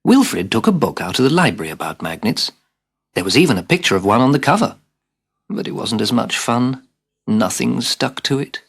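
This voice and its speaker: A man narrating a scripted children's story as a dramatic, expressive storyteller who drops into hushed asides. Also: medium pitch, moderate pace, gravelly, slightly rough. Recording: hissy old cassette tape.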